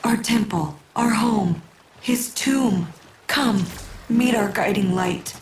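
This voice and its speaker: A woman's voice: mid-range voice, slow, gravelly, faint music behind. A woman playing a solemn, devout temple priestess in a video game, sorrowful and reverent. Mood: grieving, reverent. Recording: echoey, noisy game audio.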